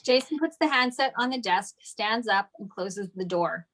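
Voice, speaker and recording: A woman's voice: mid-range voice, expressive, moderate pace, fairly bright tone. A woman narrating scripted stage directions at a table read.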